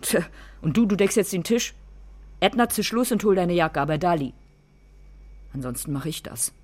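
Stern husky low-voiced mother. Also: lively intonation, normal speed. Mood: sarcastic, angry. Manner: brusque, sarcastic. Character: stern, sarcastic, proud mother of two daughters. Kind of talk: radio play acting.

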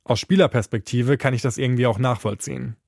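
A man's voice: medium pitch, steady tone, quick pace, creaky, darkish tone, no room sound. A man narrating a scripted podcast as its host and reporter, speaking into a close microphone.

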